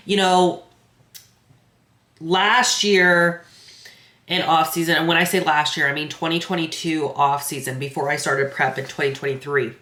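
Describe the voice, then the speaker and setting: A woman's voice: fairly low pitch, a bit bright. A congested woman, a bikini competitor and coach, chatting casually to her camera with lots of filler words. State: stuffy, congested nose. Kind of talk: casual vlog recap to camera. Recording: echoey room.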